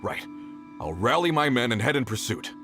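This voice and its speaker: A man playing a dutiful leader of a search party in a video game, brisk, practical and earnest. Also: mid-range voice, sing-song, music in the background. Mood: resolute. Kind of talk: English video game voice acting.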